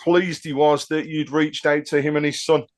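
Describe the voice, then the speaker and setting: A man's voice: on the high side, little pitch movement, normal speed. A man chatting casually as a panellist on a football podcast. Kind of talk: casual chat.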